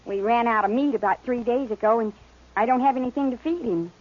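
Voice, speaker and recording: A woman's voice: a little high, fairly quick, lightly acted. A woman playing a wary, plain-spoken young homestead girl in a 1950s radio western, with a simple, childlike manner. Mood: worried, pleading. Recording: muffled vintage radio recording.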